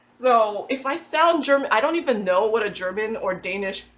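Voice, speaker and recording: A woman's voice: on the high side, animated, moderate pace, a bit bright. Woman, casual crafting chat, self-deprecating, restarts, roomy echo. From Philippines. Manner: chatty, self-deprecating, with small laughs and restarts. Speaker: crafting channel host. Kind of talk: casual voice-over chat while working on a craft. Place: indoors at a table.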